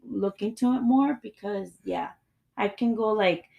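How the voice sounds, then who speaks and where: A woman's voice: mid-range voice, unhurried, gravelly, lo-fi sound. A woman in her thirties from Mexico, co-hosting a casual podcast chat and slipping Spanish into her English. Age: 30s. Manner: laughing, mixes Spanish into English. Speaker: podcast co-host.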